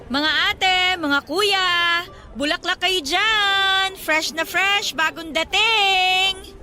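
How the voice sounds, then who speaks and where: A woman's voice: very high voice, very slow, bright, forceful tone, exaggerated voice. A woman playing an earnest, loyal young flower vendor in a radio drama. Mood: eager hawking.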